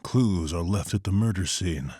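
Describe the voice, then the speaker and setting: A brooding vigilante detective speaks very low and close, with no room around him. He is terse, serious and measured, in motion comic drama. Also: lively intonation, mellow, slightly rough, no room sound. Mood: grim. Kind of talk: scripted motion comic acting.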